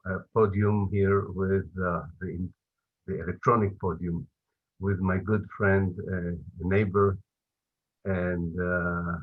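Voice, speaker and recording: Male guest speaker, deep monotone, slow and hesitant, thin phone-like sound. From Israel. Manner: slow, hesitant, many fillers. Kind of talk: opening remarks on a webinar. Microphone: video call. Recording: tinny video-call audio.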